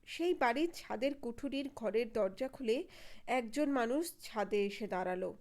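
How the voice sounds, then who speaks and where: A woman's voice: on the high side, animated, unhurried, darkish tone. A woman reading a suspense story as scripted dramatic narration.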